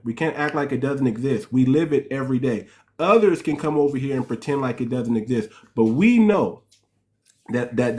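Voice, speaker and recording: A man's voice: mid-range voice, a little mellow. A man hosting a live call-in talk room, plain-spoken, moving from plugging merch to preaching. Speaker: host.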